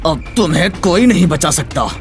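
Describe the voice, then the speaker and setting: अभिनेता की आवाज़ में उतार-चढ़ाव भरा धमकाता गुंडा. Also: male voice, a little high, animated, normal speed, faint music behind. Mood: menacing. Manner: menacing, boastful. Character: thuggish male village villain, devoted to his scheming lover. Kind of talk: dramatized Hindi moral story voice acting.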